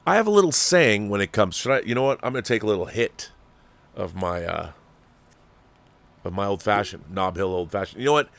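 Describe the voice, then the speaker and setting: Low-voiced American man hosting a chat show. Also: expressive, fairly quick, creaky, a bit bright. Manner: chatty, opinionated, with asides while reading. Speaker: host of a live fan show reading viewer messages. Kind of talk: casual livestream commentary, partly reading viewer messages aloud.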